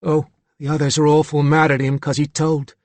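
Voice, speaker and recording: Man as an impish Cockney boy, raised pitch. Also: flat delivery, normal speed, darkish tone, no echo, natural voice. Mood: anxious. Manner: crisp, childlike, impish. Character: open, earnest thirteen-year-old English boy, impish.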